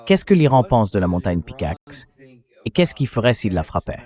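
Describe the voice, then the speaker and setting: A man's voice: fairly low pitch, very animated, normal speed, a little mellow. Synthetic male interviewer's voice. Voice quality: synthetic dubbed voice. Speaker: interview host. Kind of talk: interview questions, translated voice-over.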